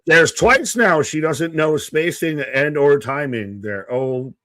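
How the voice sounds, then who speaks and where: A man's voice: fairly high pitch, takes it slowly, a bit bright, dry recording. A man co-hosting a casual wrestling watch-along stream, laid-back and joking, often trailing off mid-thought. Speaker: co-host.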